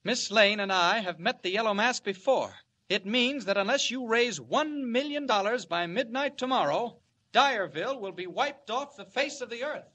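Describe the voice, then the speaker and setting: An actor playing a brisk, confident reporter with a secret heroic side, clipped and decisive in 1940s radio serial style. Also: male voice, high voice, expressive, pushed and bright, exaggerated voice. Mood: brisk, urgent. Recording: noisy old radio recording.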